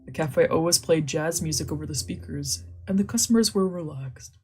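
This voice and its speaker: Low-voiced woman reading a visual novel. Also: normal speed, a little mellow, breathy edge, faint music behind. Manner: reads narration, then drops into joking asides. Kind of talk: casual read-aloud with chatty asides.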